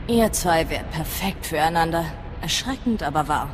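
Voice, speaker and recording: A woman's voice: sits low, expressive, normal speed, slight background noise. A woman playing a sly, cynical mercenary companion in a video game, sardonic and teasing. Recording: long reverb, faint music behind.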